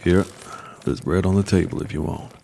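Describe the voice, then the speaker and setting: An actor playing a gruff older mountain man in an audio drama, a blunt, folksy combat mentor who teases dryly. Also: moderate pace, noisy background. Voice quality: deep, gravelly.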